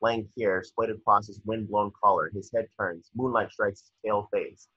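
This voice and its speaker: A man's voice: fairly low pitch, steady tone, normal speed, fairly bright tone, slightly rough, no room sound. A young man reading screenplay stage directions as scripted narration, on dull video-call audio. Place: indoor room at home, on a video call.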